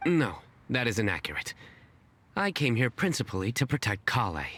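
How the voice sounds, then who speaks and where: A man's voice: mid-range voice, sing-song, normal speed, a bit bright, slight background noise. An actor playing a proud young man obsessed with a card game, talking earnestly and formally. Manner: earnest, formal, self-assured. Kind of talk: game voice acting.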